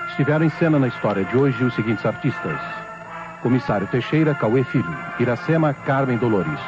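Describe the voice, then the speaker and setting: A man with a Brazilian accent reading scripted announcements as an old-time radio announcer, formal, emphatic and measured. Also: medium pitch. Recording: dull vintage radio recording, music behind.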